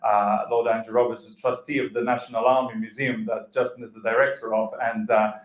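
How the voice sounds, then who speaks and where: A man's voice: mid-range voice, little pitch movement, fairly quick, reverberant, rough recording. A man moderating a conference panel, hesitant, with many fillers. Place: conference hall.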